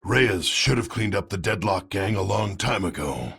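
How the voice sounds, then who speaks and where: An actor playing a grizzled older American soldier vigilante in a video game, weary, stern and terse, with a gravelly rasp. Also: male voice, lowish voice, expressive, moderate pace, fairly bright tone, exaggerated voice. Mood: grim, disapproving. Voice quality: gravelly, raspy. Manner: terse, hard-bitten. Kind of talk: video game character voice acting.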